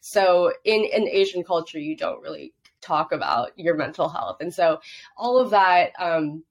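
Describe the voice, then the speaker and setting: A woman's voice: fairly low pitch, expressive, measured pace. A young woman in her twenties who grew up in Hong Kong, chatting openly as a podcast guest with lots of "like" fillers. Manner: chatty, heavy use of "like", laughs easily. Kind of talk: casual interview chat.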